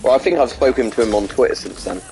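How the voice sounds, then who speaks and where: A man's voice: mid-range voice, fast, gravelly, darkish tone, faint music behind. A man streaming a video game, casually chatting with viewers and hesitating often, with a slight sore throat and a blocked nose. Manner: rambling, answers chat questions between gameplay remarks; hesitant, many ums and restarts. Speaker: gaming streamer. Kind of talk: casual live-stream commentary while playing.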